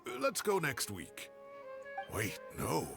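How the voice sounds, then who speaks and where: An actor playing a wry, fond older professor in a video game, theatrical and playfully grumbling, with a gravelly voice. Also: male voice, on the high side, huge pitch swings, takes it slowly, full character voice. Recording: game music under the voice.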